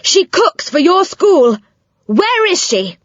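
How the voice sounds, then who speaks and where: A woman voicing an anxious young boy who shouts and groans in a storybook reading. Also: very high voice, very animated, slow, no echo, muffled recording, pitched above the performer's own voice, full character voice.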